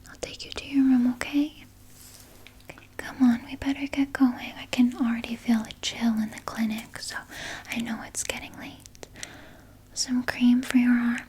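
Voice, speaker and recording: A woman playing a soothing, evasive vampire nurse in a soft, breathy ASMR whisper, gently coaxing and sweetly sinister. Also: a little high, level tone, moderate pace. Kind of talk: whispered ASMR personal attention roleplay.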